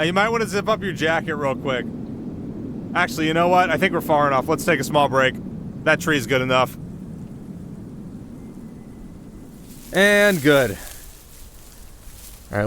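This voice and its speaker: A man's voice: mid-range voice, a little fast, long pauses, fairly bright tone, close to an everyday voice. A man playing a cocky, playful young winter spirit in an ASMR roleplay, talking casually with sarcastic, teasing banter. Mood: teasing, brisk. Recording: clear outdoor ambience noise behind voice.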